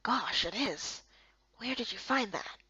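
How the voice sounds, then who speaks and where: Cool young guardsman played by a woman, hushed and teasing, visual novel role, slightly dull recording. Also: on the high side, lively intonation, slow pace, breathy edge, no echo, exaggerated voice. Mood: intrigued, serious.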